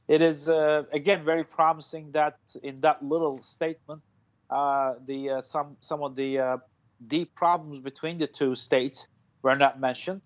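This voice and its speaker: A man in his forties, a foreign policy analyst, speaking live from home over a video call, hesitant, with many fillers and restarts. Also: fairly high pitch. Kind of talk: live news interview. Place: room at home. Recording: dull lo-fi video call audio.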